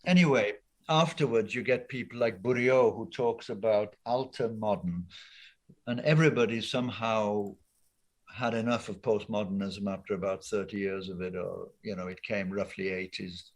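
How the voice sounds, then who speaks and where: An older man with a fairly low voice speaks over a laptop webcam on a video call. He talks in a thoughtful, hesitant way, filling gaps with ums as he explains his ideas. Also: normal speed. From Wales. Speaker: art professor guest. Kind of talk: casual interview talk.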